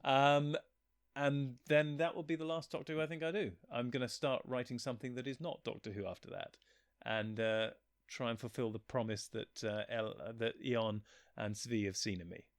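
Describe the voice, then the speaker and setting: A man's voice: mid-range voice, fairly even intonation, moderate pace, no echo, studio quality. A man speaking casually as a writer being interviewed, in an informal conversational way. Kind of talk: casual chat.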